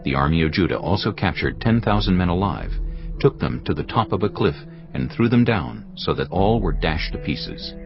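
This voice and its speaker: A man narrating a dramatised scripture reading in a measured, solemn way. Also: sits low, lively intonation. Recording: slightly muffled with soft music.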